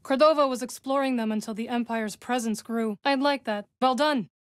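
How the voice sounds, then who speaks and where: An actress voicing a composed, dry-witted young witch warrior in a video game, speaking in measured, formal phrases. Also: a little high, no echo, close to an everyday voice. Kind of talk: video game character dialogue.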